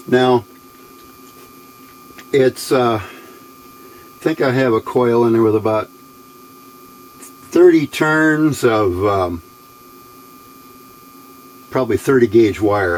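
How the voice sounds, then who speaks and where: A man's voice: medium pitch, takes it slowly, pauses often, a little mellow, low-quality recording. A man giving a casual, unscripted hands-on demonstration, explaining step by step in a plain, folksy way. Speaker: hobbyist presenter demonstrating electronics test equipment.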